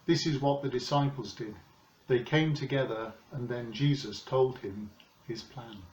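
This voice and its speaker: A man in his sixties with a British accent, giving a calm, measured, earnest sermon from his living room. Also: medium pitch, a little hiss or hum. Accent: British English. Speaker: preacher. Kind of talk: recorded home sermon. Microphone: camera in front of him, seated. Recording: roomy, slightly dull home recording.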